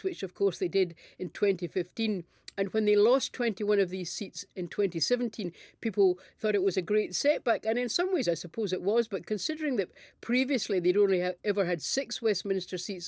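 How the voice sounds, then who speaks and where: A woman with a Scottish accent giving measured, candid and wry political analysis in conversation. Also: medium pitch, a little mellow, no echo, studio quality. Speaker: political commentator, panel pundit.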